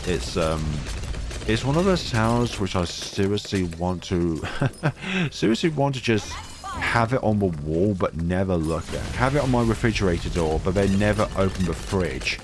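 A man of thirty with a deep voice and a cold, giving calm, rambling commentary over a game as its host. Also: normal speed, noisy background. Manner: calm, rambling, chatty tangents. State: has a cold. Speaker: gaming channel host. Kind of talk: relaxed gameplay commentary. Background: game music.

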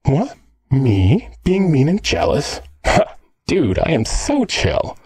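A man's voice: fairly high pitch, huge pitch swings, slow pace, darkish tone, husky, no echo, heavily acted. A man playing a teasing, jealous, slangy android boyfriend in an ASMR roleplay. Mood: jealous, sulky. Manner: cheeky, slangy, sardonic.

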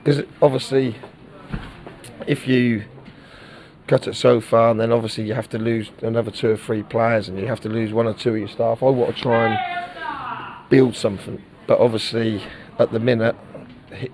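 Man, middle-aged, football manager, frank post-match talk, stumbles and repeats words, mid-pitched voice. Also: expressive, normal speed, darkish tone, a little hiss or hum. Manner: candid, repeats words while searching for them.

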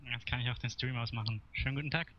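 A man's voice: medium pitch, fairly even intonation, fast. A man chatting casually as a gamer over online voice chat. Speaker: gamer joining a squad. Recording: muffled voice chat with clear background noise.

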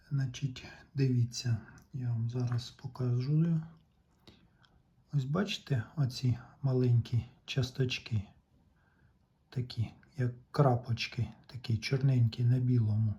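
Male repair vlogger, unhurried and soft-edged, explaining technical details casually with halting pauses; slightly muffled audio. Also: medium pitch. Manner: deliberate, halting, pauses mid-sentence. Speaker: tape recorder repair vlogger.

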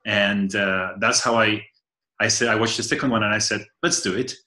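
A man from Argentina in his thirties talks over a video call in a halting, thoughtful way. His voice is lowish but bright and forceful. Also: steady tone. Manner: thoughtful, halting, searching for words. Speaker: engineer. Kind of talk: casual video call chat. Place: home room by a window. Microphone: laptop webcam on a video call.

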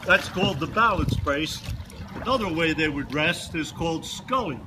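A man in his seventies explaining kayak rolls slowly and with gentle humor, speaking outdoors on open water and picked up by a distant camera. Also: fairly high pitch, lively intonation, background noise. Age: 70s. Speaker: kayak instructor demonstrating rolls. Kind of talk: casual demonstration narration.

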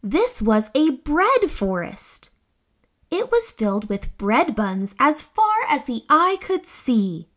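A young woman hosting a children's read-aloud, reading a storybook in an expressive, deliberate, exclamatory way. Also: high-pitched.

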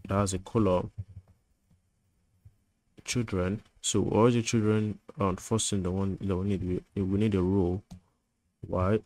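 A man with a low, level voice talks through code as he types it, stopping often to think aloud. His speech has fillers like "um" and quick self-corrections. He records at his computer in a relaxed, unscripted tutorial style. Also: no echo, poor audio. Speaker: coding tutor. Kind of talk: screen-recorded coding tutorial.

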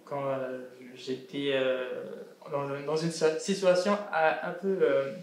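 A man in his twenties from Burundi, a panel guest talking with many pauses and fillers. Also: mid-range voice, fairly even intonation, slight background noise. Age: 20s. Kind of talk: casual panel discussion. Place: indoor salon with brick walls. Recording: echoey room.

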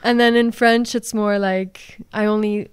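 A woman's voice: mid-range voice, steady tone, measured pace, smooth, no room sound. A young woman from Portugal, whose first language is Portuguese, chatting as a podcast guest in a small studio, searching for words with frequent fillers and laughs. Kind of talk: casual chat. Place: small studio room with dark walls. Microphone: desk microphone on boom arm.

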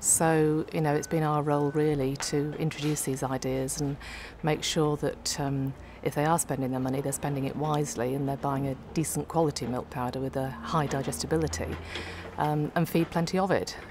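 A woman's voice: low voice, steady tone, moderate pace, noisy background. A middle-aged woman who works as a calf nutrition specialist, explaining practical advice to an off-camera interviewer, with frequent ums, in an indoor farm feed store. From United Kingdom.

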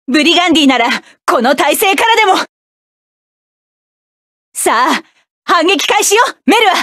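A proud, fierce mecha pilot woman from an anime-style game, snapping out orders and cool, haughty taunts. Her voice sits very high and bright for a woman. It is recorded completely dry, with no room sound at all. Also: no echo, exaggerated voice. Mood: fierce battle. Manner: sharp commanding battle shouts, cool haughty taunts. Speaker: voice actress for a game character. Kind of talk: game battle voice lines.

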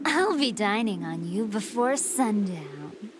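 A woman's voice: medium pitch, big pitch swings, slow, background noise. A woman voicing a sweet-toothed, playfully cruel female android villain in an anime fighting game, with theatrical, taunting delivery. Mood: annoyed, menacing.

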